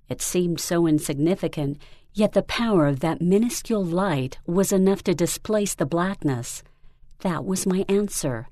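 Mellow, low-voiced woman narrating. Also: no room sound, clean studio sound. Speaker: reader. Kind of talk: scripted first-person story narration.

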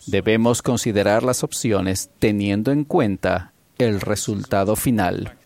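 A man delivering a formal church conference sermon in the words of an interpreter, in a measured way. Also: lowish voice, creaky, darkish tone.

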